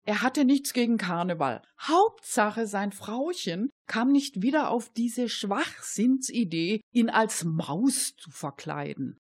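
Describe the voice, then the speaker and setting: A woman's voice: fairly high pitch, animated, slow, few pauses, breathy edge. A woman hosting a radio show and narrating a scripted crime story.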